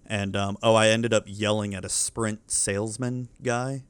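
A man's voice: on the low side, fairly even intonation, a little slow, clean tone, no room sound. A man in his thirties, a podcast co-host with kids, chatting casually and telling rambling, profane stories. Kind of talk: casual chat.

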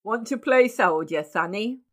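A woman's voice: mid-range voice, lively intonation, a little slow, a bit bright. Woman acting an offhand, patronising shop clerk. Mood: casual teasing. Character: casual, condescending male shop clerk. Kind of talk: audiobook character acting.

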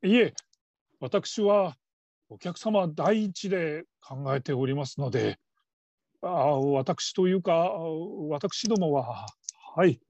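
A man's voice: on the high side, lively intonation, slow, darkish tone, no echo, full character voice. A man playing a flustered, middle-aged father who works as a call-centre operator, stammering in stiffly formal politeness in a radio drama. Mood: stiffly polite. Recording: dull lo-fi audio.

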